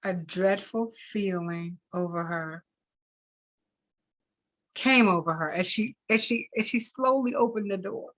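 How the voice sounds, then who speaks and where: Slow, deliberate woman reading aloud. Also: mid-range voice, a bit bright. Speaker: reader and event host. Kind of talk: expressive read-aloud of fiction.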